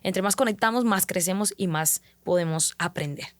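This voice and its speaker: A woman speaking in a measured, thoughtful way as a guest on a podcast, explaining her ideas with the odd filler. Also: on the low side, no echo. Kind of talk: reflective conversational explanation.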